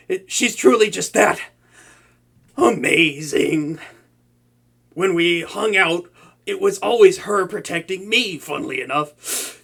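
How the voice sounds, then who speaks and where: A man's voice: high-pitched, gravelly, fairly bright tone, exaggerated voice. A young man voicing a proud, tsundere male supervillain who cooks for a captive hero, stammering and easily flustered in a comedic audio roleplay. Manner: stammering, flustered, talks to an unseen listener.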